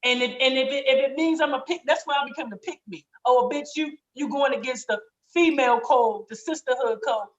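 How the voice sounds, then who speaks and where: A woman with a raspy voice speaking candidly and emphatically in casual, slangy podcast conversation, swearing freely. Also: high-pitched, a little fast, few pauses, highs cut off. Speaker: podcast guest.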